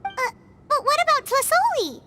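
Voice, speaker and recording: A woman voicing a tiny, chatty, childlike fairy companion in a video game, with a squeaky, expressive voice. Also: moderate pace, heavy background noise. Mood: concerned. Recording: music behind the voice.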